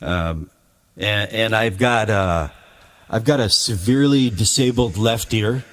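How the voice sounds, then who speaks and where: A man's voice: lowish voice, measured pace, fairly bright tone. A man streaming live while making music, talking casually to his chat in a rambling, aggrieved way with lots of stammered restarts. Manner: grumbling, aggrieved.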